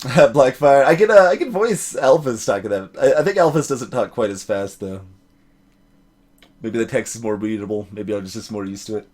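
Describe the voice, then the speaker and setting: A man's voice: medium pitch, expressive, normal speed, darkish tone. A man chatting casually as a let's play streamer, giving live commentary on a game.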